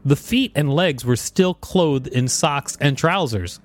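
Man reading aloud with very animated pitch. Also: takes it slowly, faint music behind. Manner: playful, joking asides. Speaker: co-host reading encyclopedia articles aloud. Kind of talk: read-aloud article with casual asides.

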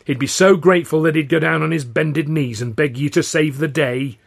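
A man plays an earnest, anxious showman in an audiobook tale. His voice is husky and creaky, with an urgent, insistent delivery that stays close to everyday speech. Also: medium pitch, very animated, fairly quick, full character voice. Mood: exasperated scolding. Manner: urgent, placating. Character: earnest, anxious vaudeville company man, pleading and indignant.

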